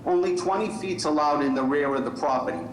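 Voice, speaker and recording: A man's voice: fairly high pitch, quick pace, a loud crowd. Earnest man testifying, echoey line. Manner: earnest, repeats words. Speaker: public commenter. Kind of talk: public testimony. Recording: echoey call audio.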